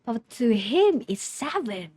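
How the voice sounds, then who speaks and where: Female game streamer: unhurried, hesitant, casual live commentary, thinking aloud, dry close sound. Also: fairly high pitch, very animated, mellow, breathy edge, no echo. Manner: hesitant, repeating words as she thinks aloud. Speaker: streamer reacting to a game trailer. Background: faint music from the trailer.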